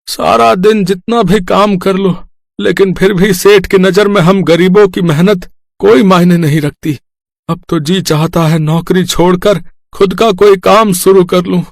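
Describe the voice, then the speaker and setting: A man voicing a poor, kind-hearted village labourer and father in an animated moral story, earnest and plaintive. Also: high-pitched, measured pace, gravelly, no echo, studio quality. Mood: dejected.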